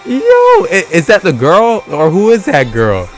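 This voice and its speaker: A man's voice: fairly high pitch, sing-song, unhurried. Casual, slangy man with music playing behind. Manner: slangy, exclamatory. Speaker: streamer reacting to game trailers. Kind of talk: casual excited live reaction commentary. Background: game trailer music.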